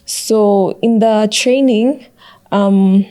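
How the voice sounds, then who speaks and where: A woman's voice: mid-range voice, very slow, warm tone, clear, resonant tone. A young woman giving earnest, conversational answers as a student panel guest. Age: young adult.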